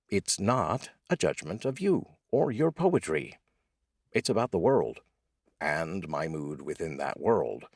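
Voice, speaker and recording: Male poetry host and voice actor, very low husky register, wry and deliberate, recorded close and dry. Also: a little mellow, dry recording. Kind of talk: informal spoken intro and poetry reading.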